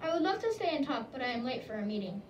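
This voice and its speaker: An evasive male passerby , played by a woman brushes off questions and hurries away. The voice is high and heard from afar in an echoey room with faint noise. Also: sing-song, fast talker, recorded from a distance, dull audio.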